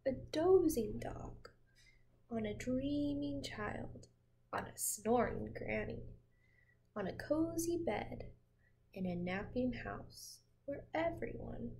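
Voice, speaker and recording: Slow-paced young woman reading a storybook. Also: a little high, vocal fry, low-quality recording. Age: 20s. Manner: slow, gentle, expressive, addressing young listeners with questions. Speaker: teacher reading storytime to children. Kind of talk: read-aloud picture book storytelling for young children. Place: small home room with windows, indoors.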